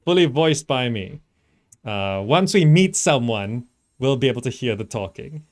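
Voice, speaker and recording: A man's voice: medium pitch, animated, unhurried. A man giving casual live commentary as he streams a strategy game, thinking aloud as he plays. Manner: thinking aloud while playing.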